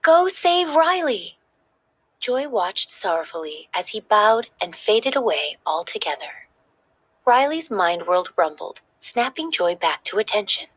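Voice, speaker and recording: A woman narrating a children's story in an expressive, dramatic read-aloud style. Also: on the low side, unhurried, breathy edge.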